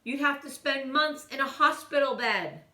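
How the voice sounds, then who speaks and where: A woman plays a frazzled storybook mother cat who scolds with dramatic exasperation. The voice is high and forceful, heard in an echoey room. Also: moderate pace, distant mic. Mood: scolding, worried.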